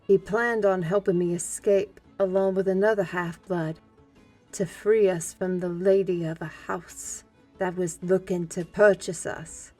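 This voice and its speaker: A woman playing an earnest former slave turned scholar in an audio drama, telling a life story in a grave, deliberate way. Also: fairly low pitch, fairly even intonation, mellow, faint music behind. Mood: solemn, reflective.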